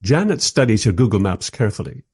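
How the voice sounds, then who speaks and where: A man's voice: lowish voice, big pitch swings, fast talker, a little mellow, slightly rough, exaggerated voice. An actor playing a wry, skeptical man who narrates his own adventure in a radio play, with a dry storytelling manner.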